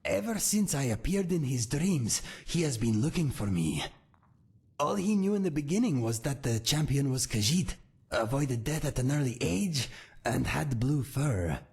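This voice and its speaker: An actor voicing a calm, earnest male cat-folk swordsman in a game, speaking in a measured, formal way with a raspy voice. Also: mid-range voice, full character voice. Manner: measured, formal and warm-hearted. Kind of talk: game character dialogue.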